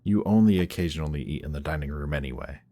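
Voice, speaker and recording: A man's voice: very deep voice, quick pace, warm tone. A man playing a guarded supervillain in a roleplay audio drama, sardonic and awkward whenever he shows that he cares. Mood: casual, scolding. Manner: sardonic, hesitant when showing care. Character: gruff, guarded male supervillain, secretly caring, sardonic.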